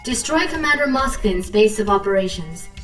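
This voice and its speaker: A woman's voice: mid-range voice, animated, normal speed, a bit bright, recorded from a distance, lightly acted. Woman playing composed army intel officer in a strategy game, clipped and formal, roomy sound with music behind. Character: composed, dutiful female Japanese military intelligence officer. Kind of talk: formal video game briefing and announcements.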